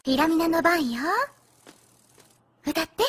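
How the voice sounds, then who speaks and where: A woman voicing a gentle, nurturing young female pony in a cartoon dub, speaking in a tender, coaxing way. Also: extremely high pitch, measured pace, heavy background noise.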